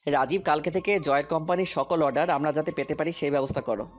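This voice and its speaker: A man's voice: on the high side, fast talker, faint music behind, lightly acted. A man playing a determined businessman hero in an audio story, speaking firmly and with purpose. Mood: commanding. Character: determined businessman hero, commanding boss, loyal friend.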